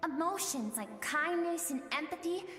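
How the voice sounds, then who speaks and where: An actress playing the wistful, resolute suppressed emotional self of a warrior woman in a video game, with solemn, measured storytelling delivery. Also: sits high, animated. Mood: reproachful, pleading. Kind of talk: scripted game voice acting. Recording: echoey hall reverb with music behind.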